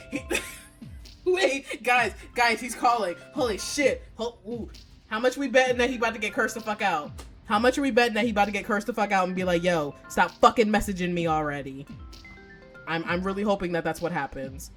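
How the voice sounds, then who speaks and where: A woman streams a visual novel with a medium-pitched voice over background music. Her commentary is casual and slangy, full of profanity. She reacts out loud in an animated, exclamatory way. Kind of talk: casual game livestream commentary.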